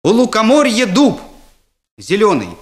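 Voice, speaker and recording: A man's voice: sits high, wildly swooping pitch, measured pace, voice pushed up, heavily acted. A man voicing a cheeky schoolboy in a comic story, drawing out his words with over-eager, feigned innocence. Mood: stalling, feigned confidence. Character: stalling, cheeky fifth-grade schoolboy bluffing through a recited poem.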